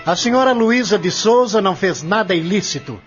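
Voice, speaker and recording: Casting: male actor, accusing prosecutor for radio play, formal and emphatic, very animated delivery; dull recording with music behind. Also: sits high, brisk. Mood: firm. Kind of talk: audio drama acting. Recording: muffled lo-fi audio with music.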